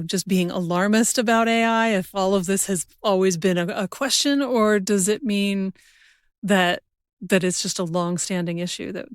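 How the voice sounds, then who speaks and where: A woman's voice: mid-range voice, no room sound, clean studio sound. A woman hosting a podcast, musing aloud in a casual, conversational interview style. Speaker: podcast host.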